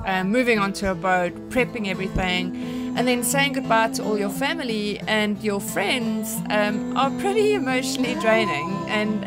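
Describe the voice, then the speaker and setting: A woman in her thirties, a vlogger, narrating her story in a chatty, reflective way with fillers. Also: medium pitch. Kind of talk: voice-over narration and vlog chat.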